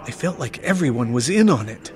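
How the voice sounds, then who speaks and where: A man's voice: medium pitch, big pitch swings, fairly quick, a crowd in the background. A man narrating and acting the lead of an audio drama, anxious and paranoid, often stammering. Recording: background noise.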